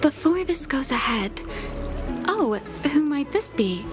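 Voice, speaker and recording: A woman's voice: high-pitched, big pitch swings, takes it slowly, full character voice. A woman voicing a devoted young maid who speaks formally and courteously, with an earnest tone, in video game dialogue. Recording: game audio with music underneath. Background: background music.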